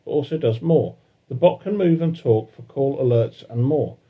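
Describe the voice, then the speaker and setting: A man co-hosting a casual chat show, reading articles aloud with hesitant pauses. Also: medium pitch, expressive, phone-quality sound. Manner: hesitant, fillers while reading. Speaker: podcast co-host.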